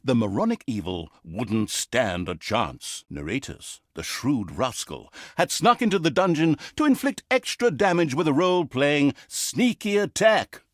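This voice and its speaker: Smug, theatrical game narrator, swooping pitch. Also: male voice, very animated, measured pace, hardly any pauses, no echo, studio quality. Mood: gleeful, sly, then grandly self-satisfied. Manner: sardonic, pompous, hammy. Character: smug, petty, fourth-wall-breaking male narrator of a comic fantasy game. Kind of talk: theatrical comic storytelling narration.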